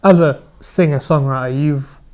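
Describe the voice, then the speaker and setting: A man, co-hosting a late-night studio chat show and leading the questions in a relaxed, chatty way. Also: on the high side, moderate pace. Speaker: co-host.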